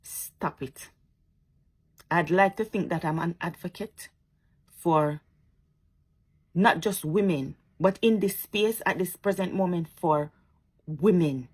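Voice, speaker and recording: A woman's voice: fairly low pitch, slow pace, pauses often, a little mellow, breathy edge. A woman with a Jamaican accent giving impassioned, preachy commentary to her social media viewers, repeating her points and turning sarcastic.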